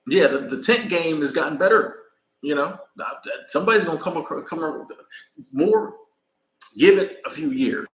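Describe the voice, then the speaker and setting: An American man rambling casually on a live stream while traveling in Mexico, pausing to thank viewers by name. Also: fairly high pitch, sing-song, a bit bright, reverberant, poor audio. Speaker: vlogger.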